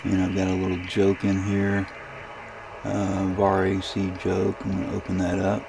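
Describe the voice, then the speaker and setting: A man giving an informal, unhurried screen demonstration, thinking aloud as he goes, recorded inside a camper. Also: deep voice, monotone. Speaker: ham radio demonstrator and channel host. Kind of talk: casual screen-demo narration. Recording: clear background electrical and radio noise. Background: radio interference noise.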